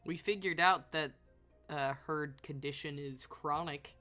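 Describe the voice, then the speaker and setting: A woman's voice: low voice, measured pace, bright, forceful tone. A woman streamer giving casual, blunt live commentary on a video game. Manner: reacts bluntly, talks to the game characters. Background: faint game music.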